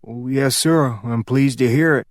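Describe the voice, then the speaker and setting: A man voicing a slow-witted young man from who sells moonshine and answers politely. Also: mid-range voice, no echo, clean studio sound, close to an everyday voice. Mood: dim. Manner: flat, dim, deferential.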